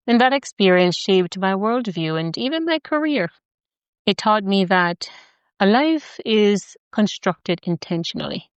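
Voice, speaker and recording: A middle-aged woman from Jamaica with a Jamaican accent, hosting a podcast introduction in a measured, deliberate way, with rhythmic lists of words. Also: lowish voice, clean tone, no room sound, muffled recording. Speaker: podcast host.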